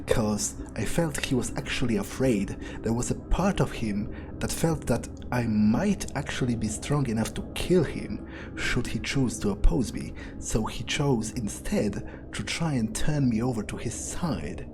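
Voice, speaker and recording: A man playing an arrogant, power-hungry vampire in a video game roleplay, musing aloud in a deliberate, improvised monologue. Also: medium pitch, animated, background noise, close to an everyday voice. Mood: arrogant, threatening. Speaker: gameplay video creator role-playing in character. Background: music.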